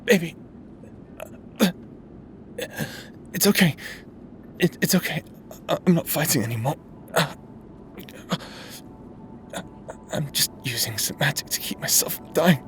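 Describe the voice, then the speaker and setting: A man's voice: fairly high pitch, expressive, normal speed, faint music behind, lightly acted. A man voicing a remorseful necromancer husband who now haunts his partner's memory, speaking tenderly and teasingly in a roleplay audio drama. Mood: pleading. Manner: tender, teasing, softly spoken.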